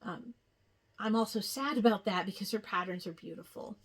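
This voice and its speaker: A woman hosting a casual craft show-and-tell, chatting in an unhurried way with rambling asides. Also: medium pitch, breathy edge. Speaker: cross-stitch video host.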